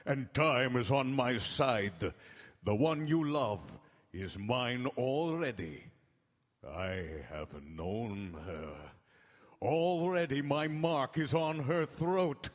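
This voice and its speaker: An actor playing an ultra-creepy vampire count in a radio horror play, with drawn-out, menacing, taunting delivery. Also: male voice, mid-range voice. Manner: slow, drawn-out, ominous delivery. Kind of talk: 1930s-style radio horror acting. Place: live stage in a large hall. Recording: echoey hall with long reverb.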